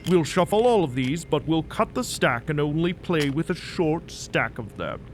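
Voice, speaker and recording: An actor playing a smug, menacing mad-scientist doctor in an audio drama, speaking in a formal, condescending, theatrical way. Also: male voice, a little high, very animated, normal speed, slight background noise. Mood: smug, coaxing.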